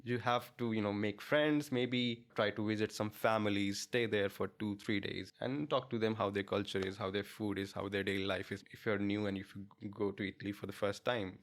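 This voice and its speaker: Guest interviewee: young Indian man, Indian accent, low-ish voice, halting and friendly. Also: fairly even intonation. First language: not English. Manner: hesitant, many fillers and restarts, laughs easily. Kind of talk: casual interview chat.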